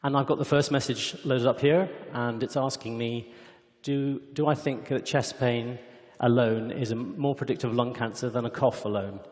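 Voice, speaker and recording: A man's voice: mid-range voice, steady tone, normal speed, a little mellow. Man presenting in an echoey hall. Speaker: company executive presenting a product demo. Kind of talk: conference product demonstration. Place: conference hall stage. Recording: echoey hall with long reverb.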